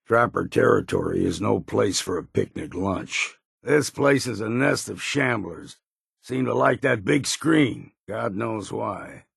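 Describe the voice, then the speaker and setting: An actor playing a grizzled old hard-drinking islander in a video game, with a gravelly, husky voice and a wry, folksy drawl. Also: male voice, on the low side, no echo, clean studio sound, exaggerated voice. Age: older. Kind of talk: video game character voice lines.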